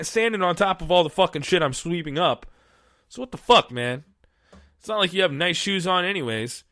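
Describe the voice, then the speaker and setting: A man's voice: fairly high pitch, a bit bright, no room sound. A man hosting a solo podcast, reading aloud and then riffing in a casual, profane, rambling way. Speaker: podcast host. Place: small studio.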